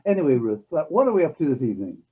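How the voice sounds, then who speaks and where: A man's voice: fairly high pitch, big pitch swings, rapid-fire, warm tone, husky, lo-fi sound. An older man co-hosting a casual chat, speaking into a headset on a video call. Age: 60s or older. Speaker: co-host. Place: home study lined with books, on a video call.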